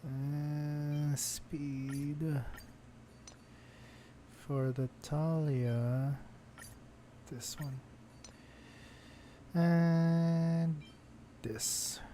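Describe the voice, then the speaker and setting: Brief: male streamer, very slow and mellow, quietly muttering through gameplay. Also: on the high side, fairly even intonation, a little hiss or hum. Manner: mutters to himself while playing. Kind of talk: casual gameplay commentary.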